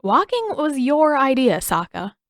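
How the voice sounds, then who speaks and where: A woman voicing a gentle, upbeat young boy, an earnest and friendly peacemaker in an audiobook story. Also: high-pitched, sing-song, unhurried, no room sound, clean studio sound, pitched above the performer's own voice, full character voice.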